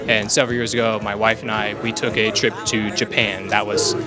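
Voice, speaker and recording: A man's voice: on the low side, little pitch movement, brisk. A man giving a casual, conversational answer about himself. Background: loud background music.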